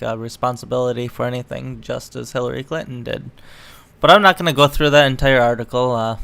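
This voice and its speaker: A man's voice: medium pitch, vocal fry, a bit bright, smooth, no echo. A man reading news articles aloud and adding casual, sarcastic, opinionated commentary as an online political commentator. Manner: sarcastic, mocking.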